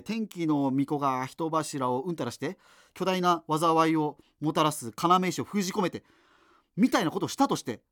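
A man's voice: on the high side, a bit bright, no room sound, clean studio sound. A man playing a geeky, rambling radio host facing doomsday in a radio drama, talking hesitantly and digressively. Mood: hesitant, pensive. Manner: hesitant, digressive, self-interrupting. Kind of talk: radio drama monologue, conversational.

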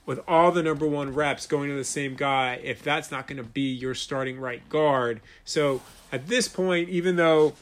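A man's voice: a little high, normal speed, few pauses. A man in his late thirties hosting a solo sports podcast, talking conversationally and emphatically, repeating points to stress them. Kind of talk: solo sports podcast commentary with host-read ads.

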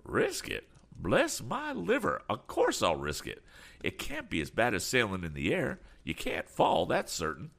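Fussy excitable old gent for a storybook, high fluttery voice, swooping up and down, nervous chatter. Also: male voice, unhurried, gravelly, exaggerated voice. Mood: eager, cheerful. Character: excitable, fussy, eccentric older gentleman with quaint exclamations.

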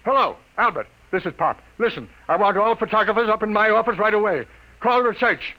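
A man playing a brash, wisecracking newspaper editor in a 1950s radio drama, brisk, cocky and commanding. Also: sits high, animated, bright, forceful tone, low-quality recording. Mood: busy, barking. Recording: old radio broadcast recording.

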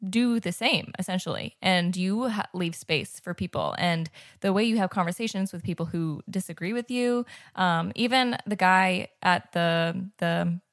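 A woman's voice: fairly low pitch, normal speed, hardly any pauses, no room sound, studio quality. A woman hosting a casual interview, asking rambling questions full of fillers and backchannels. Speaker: podcast host.

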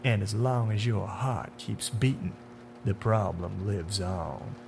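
Guy doing a sinister sneering man in a dark suit, horror story villain, theatrical n deliberate, hum in the recording. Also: lowish voice, gravelly. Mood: coldly persuasive. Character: menacing, taunting dark-suited man, smooth-talking otherworldly bringer of death. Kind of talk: dramatic horror audiobook acting. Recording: audible hum behind the voice.

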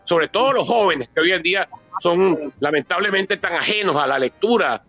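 A man's voice: sits high, animated, normal speed, sharp tone, no room sound, dull audio, faint music behind. A Venezuelan man in his seventies giving an impromptu public talk on history, emphatic and repeating phrases for stress. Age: 70s. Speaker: author and politician presenting his book. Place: theatre book presentation.

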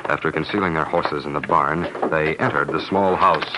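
A man's voice: very deep voice, steady tone, moderate pace, noisy background. A man narrating an old western radio serial as a grave storyteller. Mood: grave, suspenseful. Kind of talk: 1950s radio scripted narration.

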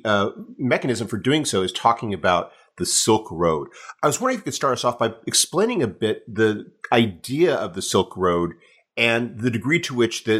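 Podcast interviewer, man, lowish raspy voice, wordy and a bit halting in his phrasing. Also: a bit bright.

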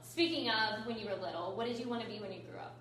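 Bright upbeat host in an echoey room. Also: female voice, mid-range voice. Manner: upbeat, fast, says "like" a lot. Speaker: host, yoga teacher. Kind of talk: podcast interview hosting. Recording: very echoey room, far from mic.

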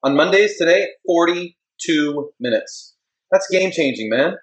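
A man's voice: fairly high pitch, animated, measured pace, echoey room, low-quality recording. A man co-hosting a live talk show, trading joking, teasing banter. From United States. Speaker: co-host. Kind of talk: casual live-stream chat.

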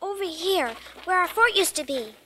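A woman's voice: very high-pitched, lively intonation, normal speed, sharp tone, slight background noise, heavily acted. An actress playing a stubborn, anxious young girl in an old radio drama, pleading and insistent, breaking into sobs. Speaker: daughter. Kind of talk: 1940s radio drama acting.

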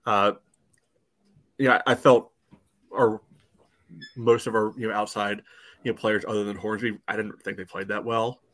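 A man giving casual soccer analysis as a podcast panelist, phrasing things haltingly with frequent filler phrases. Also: fairly low pitch, little pitch movement, a bit bright, no echo. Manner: hesitant phrasing peppered with "you know".

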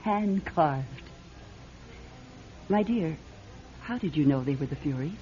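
An actress playing a proud, shifty middle-aged fortune-teller in a classic radio drama, with a theatrical, grand manner. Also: female voice, low-pitched, expressive, slow, mellow. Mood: hushed, proud. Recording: dull lo-fi radio drama recording.

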